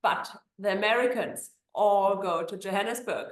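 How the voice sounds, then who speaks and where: A woman's voice: medium pitch, normal speed, reverberant, background noise. A woman from Germany with a German accent, an immigration attorney answering interview questions in a chatty way with many fillers and restarts.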